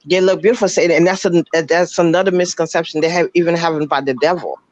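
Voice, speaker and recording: A woman calling into a live show, her voice raspy from just waking up, talking earnestly with halting restarts. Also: fairly low pitch, steady tone, darkish tone, no echo. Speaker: caller. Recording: dull, dry call-in line.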